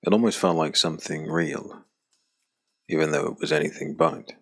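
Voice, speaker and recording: Very deep, gravelly male narrator. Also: steady tone, normal speed, a bit bright. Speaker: audiobook narrator. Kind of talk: scripted dark fantasy audiobook narration.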